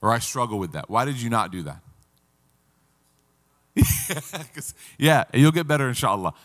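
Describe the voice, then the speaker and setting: A man's voice: mid-range voice, very animated, a little slow, fairly bright tone, in a large hall. A man in his thirties, seated at a table, teaching an interactive Quran class and asking the audience questions. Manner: engaging, asks the audience questions.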